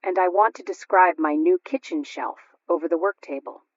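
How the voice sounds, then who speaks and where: A woman's voice: on the low side, moderate pace, dry recording, natural voice. Lady doing a chatty scatterbrained housewife on old radio, confiding, muffled recording. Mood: eager, chatty. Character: chatty, impractical, scatterbrained housewife at sewing club.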